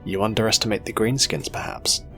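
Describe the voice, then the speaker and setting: A man as a low-voiced, deferential fleet officer. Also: a little fast, pushed and bright, husky, exaggerated voice. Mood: respectful, probing. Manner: formal, respectful, questioning. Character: deferential, loyal male junior fleet officer. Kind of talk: dramatic audio story character. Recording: music behind the voice. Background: music.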